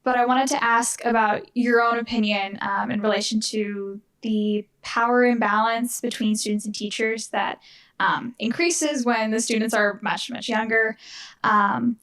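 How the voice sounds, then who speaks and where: A woman's voice: a little high, fairly even intonation, unhurried, a bit bright, clear, resonant tone, rough recording. A young woman in the audience asking a question at a talk, hesitant, with many fillers. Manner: hesitant, many ums, nervous laughs.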